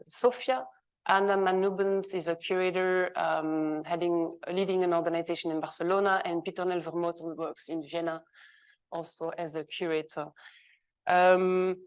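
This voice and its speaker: A woman with a fairly low voice speaks at a conference, reading from a prepared text. Dutch is her first language. Her delivery is measured and formal, with the odd small stumble over a word.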